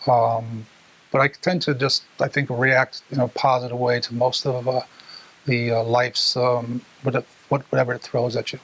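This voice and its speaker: A man talking casually in an interview, halting with many hesitations. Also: medium pitch, level tone, a bit bright, low-quality recording.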